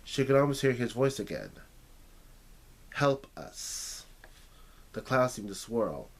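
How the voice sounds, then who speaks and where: Male storyteller reading aloud, medium pitch. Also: sing-song, a little fast, breathy edge. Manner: stumbles and repeats phrases while reading. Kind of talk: audiobook-style story narration.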